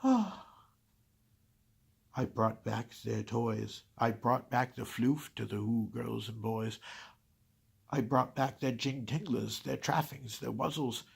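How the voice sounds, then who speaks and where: A man's voice: mid-range voice, vocal fry, slightly rough, heavily acted. A man voicing a grumpy, scheming Christmas-hating creature in a dramatic storybook reading, sighing and dropping into whispers. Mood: joyful. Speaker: reader.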